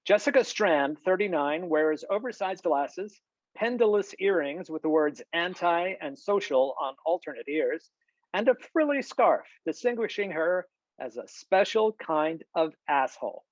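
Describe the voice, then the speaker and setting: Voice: mid-range voice, animated, unhurried, no room sound. Dry, slightly dull screenplay narrator. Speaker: narrator reading stage directions. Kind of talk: scripted narration, screenplay table read. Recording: slightly dull video-call audio, dry.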